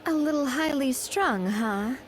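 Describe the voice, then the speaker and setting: A woman's voice: sits high, lively intonation, a little slow, noisy background. A woman playing a friendly, poised young woman in an anime video game, breezy and reassuring. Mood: wry, easygoing.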